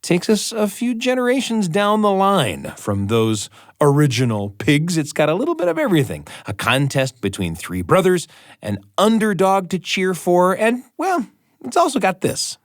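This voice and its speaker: A man hosting a storytelling podcast, introducing stories in an animated scripted narration. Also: high-pitched, normal speed, dry recording, studio quality. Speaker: podcast host.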